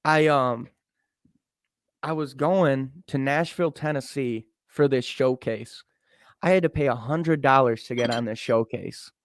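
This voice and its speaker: A man's voice: mid-range voice, a little slow, no room sound, clean studio sound. A man in his early twenties co-hosting a podcast, rambling casually with lots of "bro" and "like". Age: 20s. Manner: rambling, says "bro" and "like" a lot, laughs. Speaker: podcast co-host. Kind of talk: casual chat.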